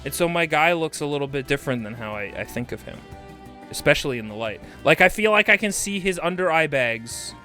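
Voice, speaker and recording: A man's voice: a little high, expressive, a little fast, pushed and bright, faint music behind. A man hosting a game livestream, chatting casually and exclaiming often, with sweary asides. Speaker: livestream host.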